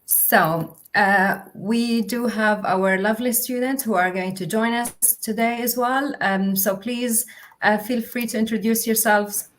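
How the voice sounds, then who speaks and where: A woman's voice: fairly high pitch, unhurried, slight background noise. A young woman, a university officer, presenting a livestream with many fillers and restarts. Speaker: international officer.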